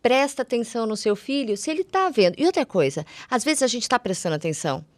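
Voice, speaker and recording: A woman's voice: on the high side, sing-song, fast, dry recording. A Brazilian woman, a guest eye doctor on a podcast, explaining conditions conversationally, step by step in everyday words.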